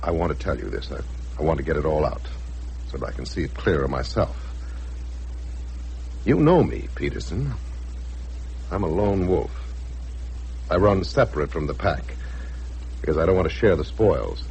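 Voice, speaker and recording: A man's voice: very deep voice, big pitch swings, moderate pace, gravelly, pauses often, darkish tone, exaggerated voice. An actor playing a suspicious, scheming and smug husband and businessman in a brooding, calculating 1960s radio drama style. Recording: noisy old radio drama recording.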